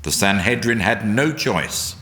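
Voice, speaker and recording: A man reading a solemn, dramatic narration in a deliberate, emphatic oratorical way. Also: fairly low pitch, lively intonation, bright, forceful tone, slight background noise. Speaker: reader at a church service. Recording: long hall reverb.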